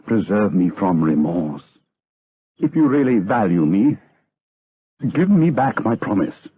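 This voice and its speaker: A tormented monk speaks low and grave, pleading piously. The voice is husky. The gothic radio drama recording is dull and narrow. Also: male voice, very animated, unhurried, heavily acted. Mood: anguished pleading. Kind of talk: gothic audio drama acting.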